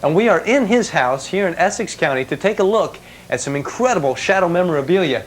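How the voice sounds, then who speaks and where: A man's voice: high voice, brisk. Chatty male TV reporter, noisy tape. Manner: animated, jokey, chatty, eager questioning. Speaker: field reporter. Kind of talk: live feature interview, casual banter. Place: collector's house, indoors. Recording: noisy 1990s TV broadcast tape.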